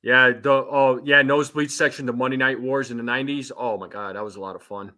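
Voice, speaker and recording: A man's voice: mid-range voice, fairly even intonation, quick pace, pushed and bright. A man co-hosting a sports podcast livestream, upbeat and reading out greetings to the chat. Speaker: podcast co-host. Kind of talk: casual livestream banter.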